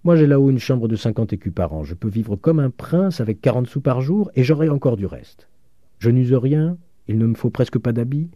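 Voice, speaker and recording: A man voicing a doting elderly father who talks excitedly, his voice rising with emotion. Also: mid-range voice, normal speed, dry recording, lo-fi sound, pitched above the performer's own voice, natural voice. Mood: joyful.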